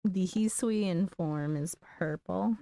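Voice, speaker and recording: A woman's voice: fairly low pitch, slow, creaky, dark tone, dry recording. A woman giving casual livestream commentary on a video game, muttering to herself as she plays.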